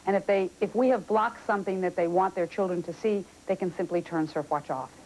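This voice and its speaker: A woman's voice: on the low side, brisk, a little mellow, slight background noise, poor audio. A woman explaining things as a company representative in a news interview.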